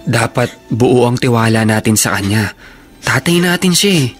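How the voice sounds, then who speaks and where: An actor playing a steadfast, protective college-age elder brother in a Filipino radio drama, firm and reassuring, with a Filipino accent. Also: male voice, mid-range voice, expressive, normal speed, faint music behind, close to an everyday voice. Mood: firm, encouraging.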